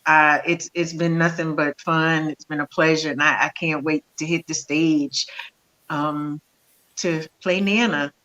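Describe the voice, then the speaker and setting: An older woman from a stage play cast giving hesitant thanks as a talk show guest, pausing often with ums. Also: low voice, steady tone, fairly bright tone, rough recording. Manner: slow, hesitant, with many ums.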